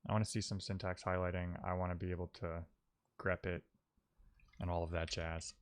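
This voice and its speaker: A man's voice: deep voice, little pitch movement, fairly quick, clean tone. A man live-streaming a coding session, casually thinking aloud and muttering as he troubleshoots, with wry asides. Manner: muttering to himself while troubleshooting.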